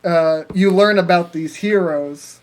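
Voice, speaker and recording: A man's voice: high voice, measured pace. A man chatting casually as a podcast co-host, in a crude, slangy way with plenty of swearing. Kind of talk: casual profane chat pitching story ideas.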